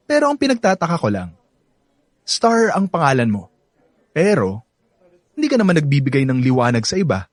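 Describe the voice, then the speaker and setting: Cocky Filipino playboy, animated pitch. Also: male voice, moderate pace, long pauses. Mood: teasing, boastful. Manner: boastful, teasing, code-switches into English. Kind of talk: Filipino radio drama acting.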